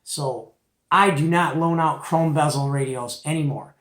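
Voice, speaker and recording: A man's voice: fairly high pitch, unhurried. A middle-aged man giving a casual, folksy and opinionated product show-and-tell as the host. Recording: echoey, roomy home recording.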